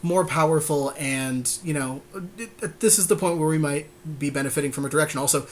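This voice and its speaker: A man's voice: a little high, fairly quick, slight background noise. A man co-hosting a podcast, chatting informally and thinking aloud as he comments on a card game. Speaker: podcast co-host. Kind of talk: casual chat and commentary while playing a card draft.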